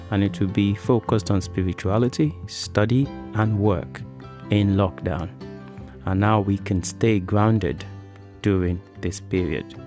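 A man's voice: lowish voice, darkish tone. A man hosting a podcast in an informal, chatty, conversational way. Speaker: podcast host.

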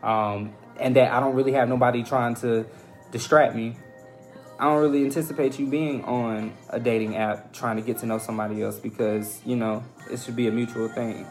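A young American man chatting casually on a podcast, thoughtful and halting as he searches for his words. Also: medium pitch, fairly even intonation, a little mellow. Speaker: podcast guest. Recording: faint music behind the voice.

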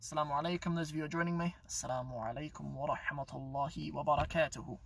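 Chatty man, slightly husky, distant mic. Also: fairly high pitch, steady tone, very fast, fairly bright tone. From England. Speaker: YouTube channel host. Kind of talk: casual live-stream chat. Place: inside his parked car.

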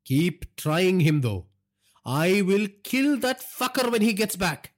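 Man acting domineering older crime boss, high strained voice. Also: expressive, unhurried. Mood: alarmed, angry. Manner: gruff, coarse, domineering. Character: hot-tempered older Indian crime boss father. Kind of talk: audiobook character dialogue.

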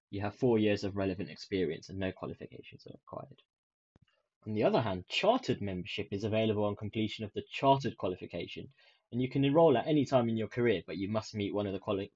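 A young man from the UK hosting his own podcast in a soft, soothing voice, rambling with many ums and restarts. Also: lowish voice, husky. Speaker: podcast host. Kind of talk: casual solo podcast chat. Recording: dull lo-fi sound.

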